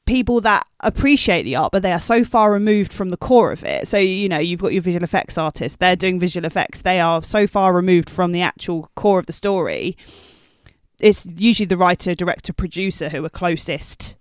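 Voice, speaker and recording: A man with a distinctly high voice co-hosts a casual film discussion. He offers opinions informally and conversationally, recorded close with very little room sound. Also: normal speed, clear, resonant tone, no echo. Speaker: podcast co-host, writer.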